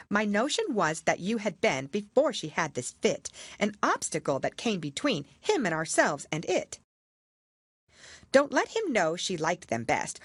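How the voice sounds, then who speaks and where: A woman's voice: mid-range voice, husky, no room sound, studio quality, voice pushed up. A woman voicing a nervous, deferential male rabbit herald in a storybook, with formal, careful delivery. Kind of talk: storybook character reading.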